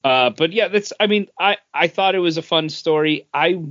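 A man's voice: a little high, moderate pace, a bit bright, no room sound, muffled recording. A man co-hosting a podcast, giving his opinions in casual, conversational review chat. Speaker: comics podcast co-host.

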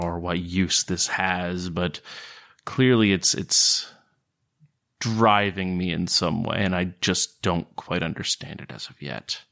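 A man performs a reserved serpent-folk thinker for a tabletop fantasy game. The voice sits fairly low. He words things formally and philosophically, measured and cerebral. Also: vocal fry, a bit bright, heavily acted. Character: stiff, cerebral male serpent-folk outcast, emotionally reserved.

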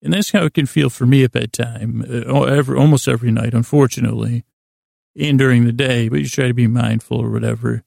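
A man hosting a podcast in a gravelly, creaky voice, rambling through a halting, self-deprecating bedtime monologue that trails off and repeats words. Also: mid-range voice, fairly even intonation, darkish tone, no echo, clean studio sound. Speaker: podcast host.

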